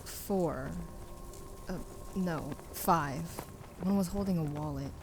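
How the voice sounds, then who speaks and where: An actress playing a young woman lost in a haunted corn maze, wary and then frightened, in a radio play. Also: low voice. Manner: wary and frightened, quick exclamations. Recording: clear background noise and ambience.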